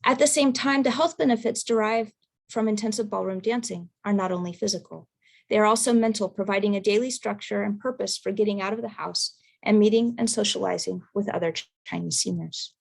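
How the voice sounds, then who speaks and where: A woman presenter reading a prepared research talk in a measured, careful way over a video call. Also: mid-range voice, gravelly. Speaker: academic presenter.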